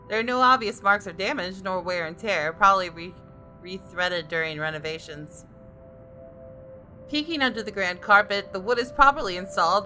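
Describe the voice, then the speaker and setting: Wry professional woman, narrating a game story, forceful bright tone, lowish pitch, music underneath. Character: wry, observant professional female architect and designer. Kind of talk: visual novel narration read in character.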